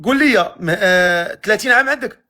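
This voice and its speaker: A man with a Moroccan accent hosting a matchmaking live stream, teasing guests with quick-fire questions. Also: high-pitched, animated, sharp tone.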